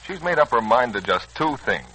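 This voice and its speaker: An actor playing a doubtful, resigned young man, a son and nephew, in a 1940s radio serial, with a husky voice and skeptical, plain-spoken manner. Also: mid-range voice, sing-song, normal speed, sharp tone, a little hiss or hum, poor audio. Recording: old 1940s radio recording.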